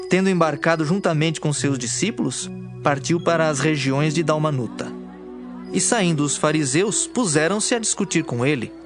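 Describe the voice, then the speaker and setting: Guy narrating a bible drama, formal n measured, voice a bit high, soft music under it.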